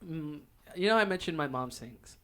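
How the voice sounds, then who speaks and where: A man's voice: on the high side, expressive, moderate pace, bright, forceful tone. A young man co-hosting a podcast, chatting casually and telling personal stories with frequent laughter. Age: young adult. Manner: giggly, often laughs while talking. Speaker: podcast co-host. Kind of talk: casual chat, personal storytelling.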